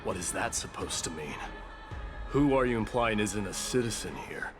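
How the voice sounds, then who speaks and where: Man acting a low-voiced, haughty warrior. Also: lively intonation, moderate pace, close to an everyday voice. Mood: indignant, scornful. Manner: imperious, declamatory. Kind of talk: dramatic video game acting. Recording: game music behind the voice.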